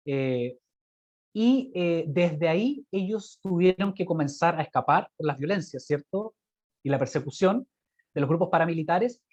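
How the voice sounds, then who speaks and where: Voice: mid-range voice, darkish tone. A lecturer with a Chilean accent presenting informally from slides over a video call, speaking hesitantly and often seeking agreement with little tag questions. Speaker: guest lecturer. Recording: muffled lo-fi video call audio.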